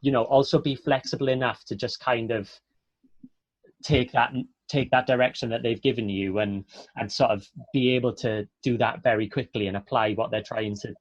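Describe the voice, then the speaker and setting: A man's voice: mid-range voice, fairly even intonation, normal speed, no room sound, lo-fi sound. A man from south Wales with a Welsh accent, an actor speaking in a chatty, earnest, encouraging way as he gives informal advice to students. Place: close dry online webinar call.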